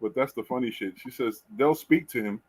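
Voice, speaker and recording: A middle-aged man hosting a podcast, chatting casually and telling lively stories with casual swearing. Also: mid-range voice, a little fast, low-quality recording. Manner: animated storyteller, swears casually. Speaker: podcast host. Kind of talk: casual chat.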